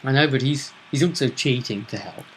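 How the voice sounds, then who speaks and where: A man's voice: mid-range voice, fairly quick, poor audio. Casual man recapping a game, jokey. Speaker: host of a tabletop role-play vidcast. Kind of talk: casual chat recapping a role-play game tournament.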